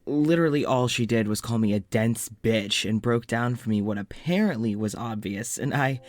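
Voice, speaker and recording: An actor playing an anxious, self-doubting male doctor in an audio drama, rambling haltingly and self-deprecatingly through a confessional monologue. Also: mid-range voice, lightly acted. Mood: confused, sheepish.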